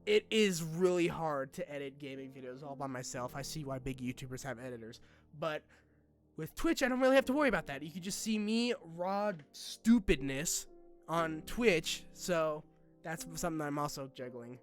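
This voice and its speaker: Young man, fairly high voice, casual vlog talk, halting, self-deprecating, candid, music behind. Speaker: YouTuber addressing followers.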